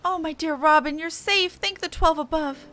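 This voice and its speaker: Fretful, loving mother played by a woman, high and emotional, fantasy game character. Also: normal speed, sharp tone. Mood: tearful relief. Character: tender, anxious mother of a small boy.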